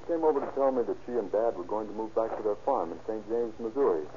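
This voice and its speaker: Worried civilian under questioning, played by a man: cooperative, fairly low pitch, vintage radio style, noisy lo-fi sound. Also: animated, fast, warm tone, lightly acted. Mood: puzzled, cooperative. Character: uneasy, cooperative civilian man, son of a suspect. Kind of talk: 1930s radio acting. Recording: muffled, noisy old radio recording.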